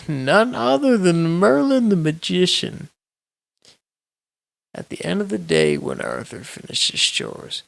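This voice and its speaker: A man narrates a bedtime story in a very creaky, gravelly voice. He takes his time, with measured, dramatic phrasing and a pitch that swings widely up and down. The sound is dry and close. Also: a bit bright, no room sound. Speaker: storyteller reading aloud.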